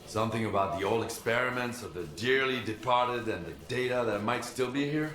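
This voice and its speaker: A man acting an embittered, defiant loner in a video game cutscene, speaking in a measured, cynical, scornful way. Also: medium pitch, fairly bright tone, close to an everyday voice. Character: embittered, defiant male loner of a forbidden zone. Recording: roomy, echoing game audio.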